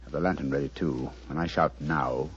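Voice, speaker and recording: An actor playing a commanding, razor-sharp detective with a British accent and precise theatrical diction, in a 1940s radio drama. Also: male voice, rumbling low, steady tone, brisk. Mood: hushed, tense. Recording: dull, noisy 1940s radio recording.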